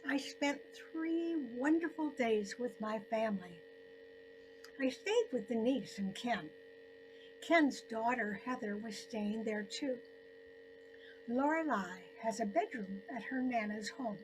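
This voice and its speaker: An elderly woman tells a personal story she has written. Her voice sits a little high, and she speaks very slowly and deliberately, pausing often between short phrases. There is faint noise in the recording. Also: poor audio. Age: 70s or older. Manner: deliberate phrasing with frequent pauses. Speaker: storyteller narrating own story. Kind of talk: scripted personal story narration.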